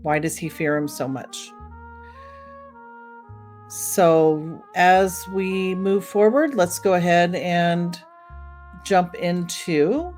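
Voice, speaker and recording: A woman hosting a podcast, introducing an episode in a relaxed, chatty way with fillers. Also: on the low side. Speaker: podcast host.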